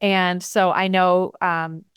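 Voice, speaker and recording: A woman hosting an interview, encouraging her guest with frequent warm affirmations. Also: fairly low pitch, little pitch movement, slow pace, clean tone, no room sound, studio quality. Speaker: podcast host.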